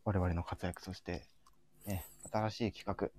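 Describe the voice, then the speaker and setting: Male live-show host, low and breathy, soft-spoken and hesitant in casual chat. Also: fairly even intonation, unhurried.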